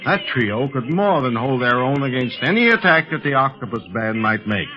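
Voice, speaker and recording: A man's voice: medium pitch, sing-song, moderate pace, close to an everyday voice. An actor playing a level-headed, fatherly doctor in a 1930s radio serial, reasoning emphatically and reassuringly. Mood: earnest, reasoning. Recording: muffled 1930s radio recording, music behind.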